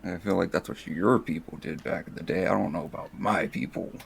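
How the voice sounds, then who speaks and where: Very gravelly, low young man. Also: fairly even intonation, a little fast. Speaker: podcast guest. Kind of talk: casual joking chat.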